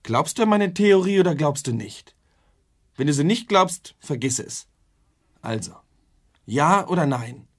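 A man's voice: a little high, lively intonation, unhurried, long pauses. An actor playing an impassioned, idealistic younger man, pressing and informal, in a radio drama. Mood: urging. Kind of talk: German radio drama acting.

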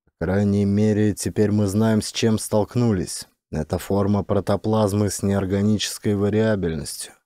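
Man acting a grim, mellow-voiced male scientist. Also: fairly low pitch, fairly even intonation, natural voice. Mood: measured. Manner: measured, serious explaining. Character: grim, cautious male scientist on space expedition. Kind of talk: audiobook drama character voice.

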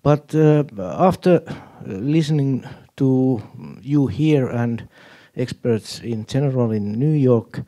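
A man from Finland with a Finnish accent, asking a question from the audience in a deliberate, halting way. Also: medium pitch, dark tone. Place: indoor auditorium audience.

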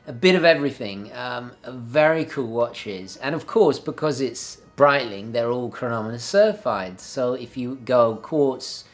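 Young male host, casual and chatty, filmed at a desk in a home office. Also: mid-range voice. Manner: chatty and enthusiastic, frequent fillers and restarts. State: slightly hoarse, clearing throat. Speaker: watch channel host. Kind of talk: casual video review. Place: home office room with a map on the wall.

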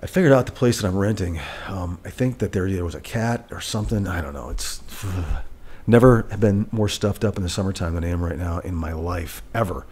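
A man's voice: sits low, brisk, darkish tone. A middle-aged man with a stuffed-up, nasal voice, hosting a solo podcast from a rented apartment living room, talking in a rambling way and correcting himself as he goes. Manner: rambling, self-correcting, candid. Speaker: podcast host. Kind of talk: casual solo podcast talk. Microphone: studio mic on a boom arm.

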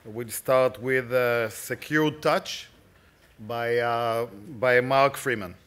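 A middle-aged man hosting an event, announcing the next speakers in a halting way with pauses. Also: medium pitch, fairly even intonation, a bit bright, smooth. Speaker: event host. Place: large bright conference room, at a podium. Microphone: podium microphone.

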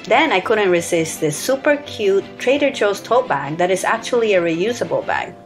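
A woman in her thirties or forties narrates a scripted grocery haul in a steady, matter-of-fact voice of middle pitch. Her close-mic voice-over runs over faint background music. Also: normal speed. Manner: even, matter-of-fact listing of purchases. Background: soft background music.

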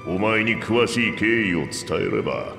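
An old reptilian monarch in a fantasy game. The voice is gravelly and swings widely in pitch, grand and commanding with a playful streak. It rings through a long, echoing hall reverb. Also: male voice, animated, moderate pace. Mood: grave, measured. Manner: grandiose, archaic elder speech. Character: boisterous old two-headed reptilian king, playful and commanding. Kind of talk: theatrical video game voice acting. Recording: echoey hall reverb, music behind.

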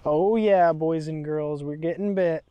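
A young man vlogging while fishing outdoors by a river, talking excitedly to viewers on an action camera. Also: fairly high pitch, a little slow, clean tone, no echo, dull audio. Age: young adult. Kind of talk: casual outdoor vlog.

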